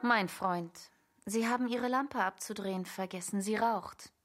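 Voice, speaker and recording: A poised bourgeois wife with secrets and a seductive streak, played by an actress in a literary radio play. Her voice sits at an ordinary female pitch, with faint music behind it. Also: unhurried, lightly acted. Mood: coolly dismissive. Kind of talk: literary radio drama dialogue.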